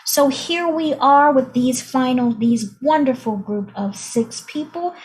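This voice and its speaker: An upbeat, high-voiced female showbiz interviewer, played by a woman at a game table. She presents with emphasis and repeats phrases as she introduces pop hopefuls. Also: measured pace, a little mellow, poor audio. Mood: upbeat, announcing.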